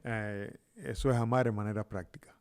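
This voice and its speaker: Low-voiced middle-aged Cuban pastor. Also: male voice, steady tone, normal speed, clean tone. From Cuba. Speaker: pastor, podcast guest. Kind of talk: conversational interview answers.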